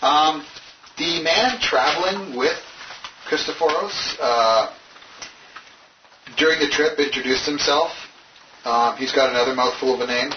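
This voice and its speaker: A man's voice: a little high, unhurried, pauses often, reverberant, noisy background. Guy game mastering casually, kinda muffled and far from the mic. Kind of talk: casual tabletop game narration.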